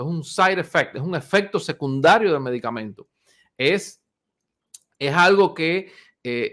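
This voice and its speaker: Fifty-year-old male doctor, chatty and unhurried. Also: fairly high pitch, fairly bright tone. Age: 50s. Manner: unhurried, many fillers and restarts, conversational. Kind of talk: informal health talk to viewers.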